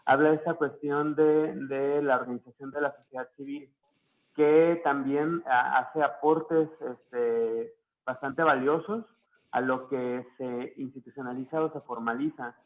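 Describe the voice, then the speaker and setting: A man speaking as a panelist in an online discussion, halting and full of fillers. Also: mid-range voice, steady tone, phone-quality sound. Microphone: video call.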